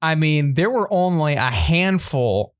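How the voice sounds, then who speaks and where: A young man hosting a sports talk show on the radio, chatting in an upbeat, energetic way. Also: on the high side, measured pace, clean tone.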